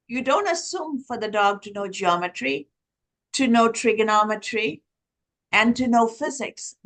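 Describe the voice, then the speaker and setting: A woman's voice: on the high side, a bit bright. A woman from Sri Lanka whose first language is Tamil, speaking on a conference panel and building her points in long lists.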